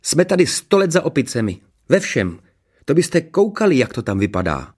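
Man putting on a high, snippy young princess. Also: lively intonation. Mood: gushing. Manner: enthusiastic, snappish, tattling. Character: gushing, spiteful young fairy-tale princess.

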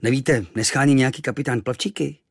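Man voicing an eager young lad, bold, raised voice, gravelly undertone, dry. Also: mid-range voice, dry recording. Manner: excited, raised voice. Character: eager young boy sailor, excited, brave.

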